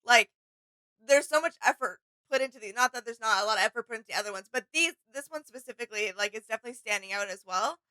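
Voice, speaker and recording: Bright, agreeable woman chatting casually. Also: fairly high pitch, fast, no echo, rough recording. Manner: agreeable, appreciative. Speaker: reaction video co-host. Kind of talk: casual reaction chat.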